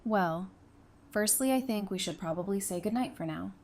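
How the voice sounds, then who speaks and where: A woman's voice: medium pitch, moderate pace, darkish tone, slight background noise, natural voice. An actress playing a sensible, affectionate, plain-spoken woman in an audio drama. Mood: caring, practical. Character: frank, teasing, sensible woman, affectionate with her sweetheart.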